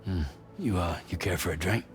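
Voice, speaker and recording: A man playing a weary, wary loner courier in a sci-fi game, terse and halting. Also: over music, close to an everyday voice. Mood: wary, dry. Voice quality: low, gravelly. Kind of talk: cinematic game dialogue.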